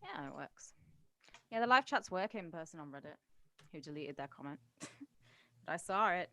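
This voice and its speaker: A woman's voice: deep voice, expressive, moderate pace, sharp tone, dry recording. A woman in her thirties, a podcast co-host, chatting playfully and teasing before a livestream, swearing freely. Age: 30s. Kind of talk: casual banter before a livestream.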